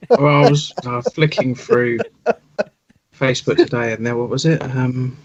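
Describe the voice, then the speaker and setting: A man's voice: mid-range voice, fairly even intonation, a little slow. A man chatting casually as a panelist on a fan podcast, recorded with dull, lo-fi sound.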